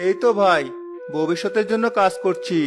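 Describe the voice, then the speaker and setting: A man's voice: high-pitched, normal speed, music in the background, close to an everyday voice. A man voicing a stubborn, simple-hearted village husband in a cartoon, earnest and insistent. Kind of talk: cartoon voice acting.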